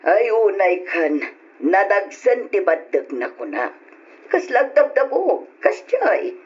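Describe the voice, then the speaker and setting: A woman's voice: mid-range voice, sing-song, slow, darkish tone, slightly rough, full character voice. An actress playing a betrayed, bitter and wounded young woman in an old-fashioned radio drama. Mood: downcast. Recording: muffled, noisy old radio recording.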